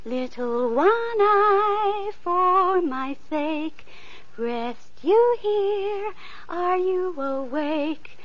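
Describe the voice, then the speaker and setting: Sweet young girl Two Eyes, high and lively. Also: drawn-out words, soft background music, exaggerated voice. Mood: coaxing. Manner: sing-song, sweet and coaxing. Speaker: radio actress. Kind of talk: 1940s radio acting. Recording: muffled old radio recording.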